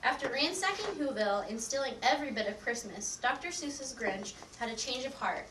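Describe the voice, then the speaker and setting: A woman's voice: high voice, lively intonation, brisk. A young woman giving a scripted devotional reading in a readers theatre performance. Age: young adult. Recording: echoey room, distant mic, faint noise.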